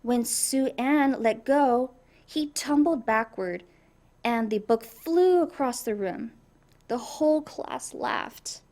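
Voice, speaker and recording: A woman reading a children's storybook aloud in an expressive way, addressing young listeners. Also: a little high, slow. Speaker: storybook reader. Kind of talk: animated children's read-aloud.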